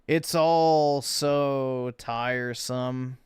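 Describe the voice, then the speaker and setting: A man streaming and reacting to clips, talking in a blunt, crude, sarcastic and deadpan way. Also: mid-range voice, drawn-out words, smooth, dry recording, studio quality. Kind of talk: casual stream commentary.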